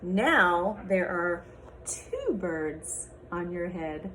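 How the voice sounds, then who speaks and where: A calm storybook animal friend, played by a woman, states things plainly and very deliberately. The delivery is slow and matter-of-fact, with faint noise in the background. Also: fairly low pitch, voice pushed down, exaggerated voice.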